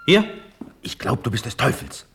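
An actor playing a dreamy, distracted young prince and officer in a classic radio drama, with a theatrical, absent-minded manner and abrupt outbursts. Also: male voice, medium pitch, sing-song, moderate pace, fairly bright tone. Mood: startled, confused. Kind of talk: classic German radio play stage acting.

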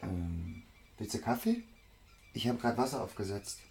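An actor playing a shy, self-doubting young poet in an intimate radio drama, halting and terse. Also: male voice, lowish voice, huge pitch swings, gravelly, music in the background, heavily acted. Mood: reserved.

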